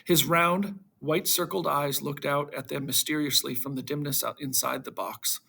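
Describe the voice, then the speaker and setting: A man reading a children's storybook aloud to schoolchildren in an animated narrating style. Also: a little high, normal speed, creaky, breathy edge.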